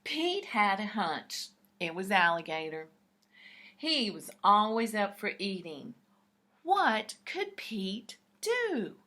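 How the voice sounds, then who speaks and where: A woman reading a picture book aloud to young children in an expressive, deliberate, explanatory way. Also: mid-range voice.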